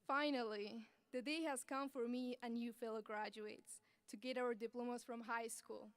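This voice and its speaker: A young woman from Afghanistan giving an earnest, measured graduation speech as the student speaker at a podium microphone. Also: fairly high pitch, fairly even intonation, smooth, dry recording. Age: young adult. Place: large ceremony venue.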